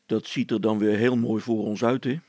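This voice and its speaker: A man's voice: lowish voice, steady tone, normal speed, a little mellow, no echo, pitched below the performer's own voice, lightly acted. A man voicing a down-to-earth, weary, grumbling man in a children's story, speaking in a dry, plain-spoken way. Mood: resigned. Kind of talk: children's audio story character voice.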